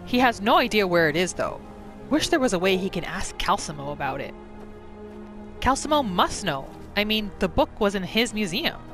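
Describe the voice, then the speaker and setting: A woman hosting a gameplay roleplay, narrating casually in the third person. Her voice is of medium pitch, with faint music behind it. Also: very animated, moderate pace. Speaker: gaming host and roleplayer.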